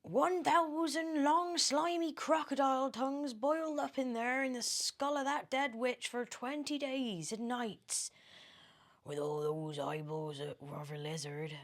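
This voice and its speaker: A British woman voicing a mysterious, excitable old man in a storybook, speaking in a theatrical, commanding, drawn-out way. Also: sits high, pitched above the performer's own voice. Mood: excited, gleeful. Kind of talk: animated storybook character reading.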